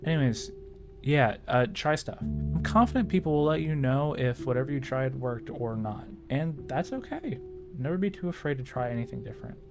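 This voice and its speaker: A man's voice: medium pitch, animated, moderate pace, creaky, music in the background. A man hosting a video, narrating scripted tips in a tutorial style. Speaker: video host giving tips.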